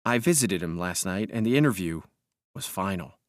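A man's voice: medium pitch, normal speed, dry recording, studio quality, close to an everyday voice. A man voicing a deluded, imperious madman in a storybook reading, grandiose and commanding, with simmering rage. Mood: controlled menace.

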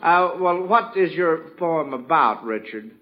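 A man's voice: a little high, lively intonation, unhurried, muffled recording. A man hosting an old 1940s radio panel show, chatting off the cuff with children in a folksy, encouraging way. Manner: folksy, encouraging, addresses children as son and fellas. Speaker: quiz show host. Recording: old radio broadcast with faint audience.

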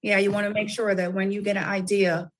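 A woman who writes children's books is a guest on a talk show. She explains things earnestly in a relaxed conversational way. Her voice has an average pitch for a woman. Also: fairly quick, slight background noise. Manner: earnest, explanatory, light fillers. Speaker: children's book author, guest. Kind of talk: casual interview.

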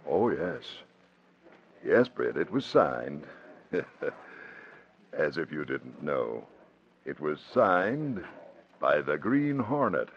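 An actor playing a hero's dry, knowing confidant in an old-time radio drama. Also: male voice, rumbling low, big pitch swings, drawn-out words, vocal fry, pauses often, full character voice. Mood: wry, knowing. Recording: dull old radio recording.

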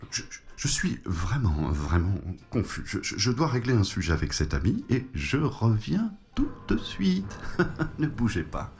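Audio drama role: flustered shopkeeping barber, apologetic and exasperated, medium pitch, extremely lively delivery. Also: male voice. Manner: stammering, apologetic, protesting. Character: flustered, exasperated barber trying to calm a friend. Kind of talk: French audio fiction acting.

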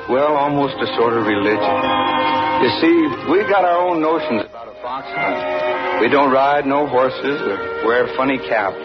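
A plain-spoken young farm son tells the story in a homespun country voice, as an actor plays him. His pitch sits in the middle range. It is an old radio broadcast with a dull sound and music behind it. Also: natural voice. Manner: homespun, folksy narration with hesitations. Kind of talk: 1940s radio acting.

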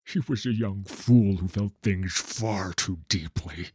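A man voicing a stern, bitter mage hunter from a fantasy story, rough-voiced, sneering and accusatory. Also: low-pitched, slow, mellow, no echo, exaggerated voice. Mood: grim, restrained. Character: stern, bitter male mage hunter, grieving and menacing interrogator.

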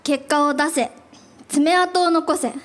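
An actress playing an anxious, lovestruck young office worker, earnest and melodramatic in a live radio drama. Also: female voice, high voice, slow pace. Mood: dejected. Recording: live event, long hall reverb.

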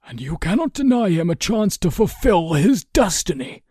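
A man playing a careful, persuasive guest in an audio story, measured but emphatic and fierce when challenged. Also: a little high, animated, mellow, dry recording, clean studio sound.

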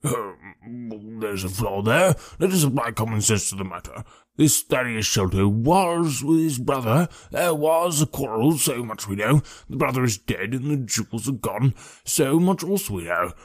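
A stout, blustering police detective from a storybook, as acted out by a male reader. His voice is muffled, husky and wheezy, pitched a little above the reader's own, in a dry, close recording. He is pompous and hearty, repeating his phrases with great self-satisfaction. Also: no room sound, natural voice.

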